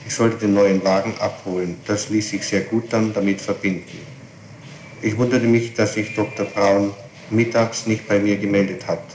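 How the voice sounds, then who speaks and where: A man's voice: lowish voice, flat delivery, normal speed, a little mellow. A man reading a statement back in a formal, matter-of-fact way during an interrogation. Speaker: police record taker. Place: echoey interrogation room. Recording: echoey archival tape with faint hiss.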